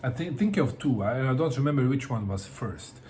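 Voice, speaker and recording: A man's voice: medium pitch, animated, brisk, dark tone, a little hiss or hum. A middle-aged man from Bosnia, talking casually to camera about books, hesitant, with many fillers and repeated words. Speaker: book vlogger.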